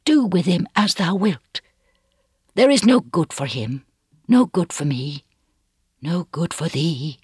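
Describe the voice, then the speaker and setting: A reader as an anguished, resolute woman, husky-toned. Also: medium pitch, lively intonation, slow pace, long pauses, no echo, clean studio sound, voice pushed up, full character voice. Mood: firm, despairing. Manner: solemn, archaic, pleading speech.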